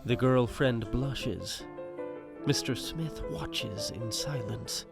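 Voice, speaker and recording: A man's voice: mid-range voice, very slow, creaky. A man narrating an audio drama in a scripted, dramatic present-tense style. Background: music.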